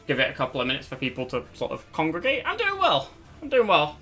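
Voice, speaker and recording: A young man streaming live with a slightly high, bright and forceful voice that keeps cracking as it starts to go. He talks in a loose, rambling way and often corrects himself mid-sentence. Faint music plays quietly behind him. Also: wildly swooping pitch, quick pace. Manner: rambling, self-correcting, chatty. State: voice cracking, losing his voice. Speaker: streamer hosting a tier list stream. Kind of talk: casual live-stream chat. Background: faint background music.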